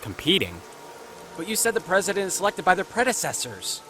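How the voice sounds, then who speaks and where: Grumbling hot-headed schoolboy, played by a man, wildly swinging pitch, blunt, exasperated, anime dub, loud music. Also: wildly swooping pitch, brisk, exaggerated voice. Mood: indignant. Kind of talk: anime fan dub acting.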